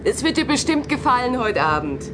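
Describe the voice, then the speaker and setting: A woman's voice: fairly high pitch, very animated, a little fast, noisy background. An actress playing a secretive, assured woman who coaxes others into a cult in a German audio drama. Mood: mysterious, assured. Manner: coaxing, assured.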